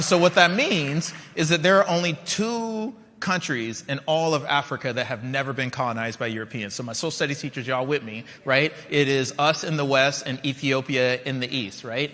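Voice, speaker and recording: A man's voice: medium pitch, moderate pace, bright, forceful tone, big-room sound, a faint crowd. A man in his forties who grew up in Liberia, giving a conversational keynote speech and often checking in with his audience. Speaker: keynote speaker. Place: large conference hall.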